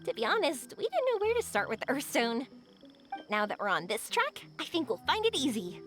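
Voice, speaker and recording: A woman's voice: sits high, very animated, a little fast, fairly bright tone, soft background music. A woman voicing a cheerful, kind young female adventurer in a video game, upbeat and reassuring. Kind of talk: video game character acting.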